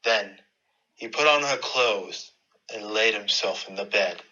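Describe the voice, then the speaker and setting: A man's voice: on the low side, unhurried, pushed and bright, lo-fi sound. A man narrating a scripted fairy-tale radio play in a dramatic storytelling manner.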